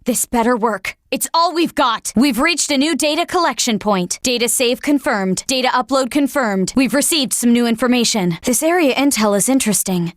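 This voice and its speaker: A woman's voice: fairly high pitch, expressive, moderate pace, few pauses, no echo, clean studio sound, close to an everyday voice. A woman playing an anxious military operator in a video game, giving clipped, dramatic reports on a monster threat. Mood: commanding, focused. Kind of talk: video game voice acting, urgent radio-briefing dialogue.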